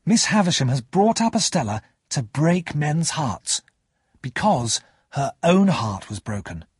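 A man voicing a frank young gentleman in a storybook, explaining things earnestly and deliberately. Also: on the high side, sing-song, slightly rough, no echo, studio quality, pitched above the performer's own voice, full character voice.

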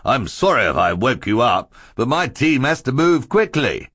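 A man voicing a chubby, brisk man in charge in a storybook, with a loud, booming voice. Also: very animated, husky, dry recording, studio quality.

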